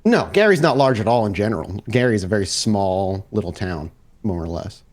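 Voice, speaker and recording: A man working as game master, improvising narration casually and haltingly as he thinks aloud. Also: lowish voice, animated, darkish tone.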